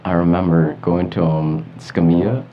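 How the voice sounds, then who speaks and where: A man's voice: low-pitched, little pitch movement, a little slow, a little hiss or hum. A teenage or young adult American man co-hosting a talk show with casual, slangy chat. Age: teens or young adult. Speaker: co-host of a teen talk show. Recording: dull lo-fi audio.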